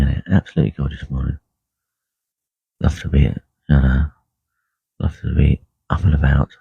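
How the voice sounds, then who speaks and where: A man with a croaky voice talking quietly and unhurriedly on a live stream from a lake bank, full of ums and uhs. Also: very deep voice, dry recording. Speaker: carp angler livestreaming. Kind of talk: casual live stream chat. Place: lakeside fishing swim outdoors. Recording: dull lo-fi live stream audio.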